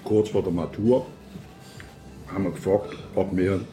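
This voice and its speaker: A man's voice: low voice, fairly even intonation, a little slow, mellow, faint music behind. An older man with a Swiss German accent, interviewing and reminiscing in casual conversation.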